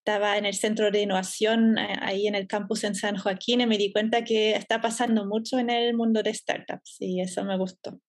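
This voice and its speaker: A German woman in her thirties, guest on a podcast interview, talking about her business in a relaxed way and laughing easily. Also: mid-range voice, a little fast, few pauses. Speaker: entrepreneur guest.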